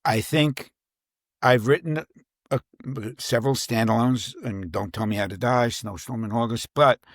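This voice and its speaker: Halting man chatting, dry close sound. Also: medium pitch, dry recording. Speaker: crime thriller novelist, interview guest. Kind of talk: casual conversational interview.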